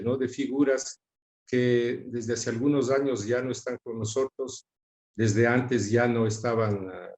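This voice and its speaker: A middle-aged man with a Quito Ecuadorian accent, reminiscing conversationally as an interview guest in a reflective, halting way. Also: mid-range voice, a little mellow. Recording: roomy, echoing sound.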